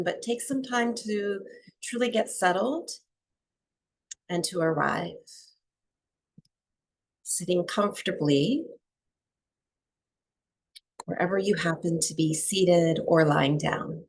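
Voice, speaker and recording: A woman teaching breathwork and meditation to a small group, speaking softly and soothingly with long pauses between phrases. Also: mid-range voice. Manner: soft, unhurried, soothing delivery with long pauses.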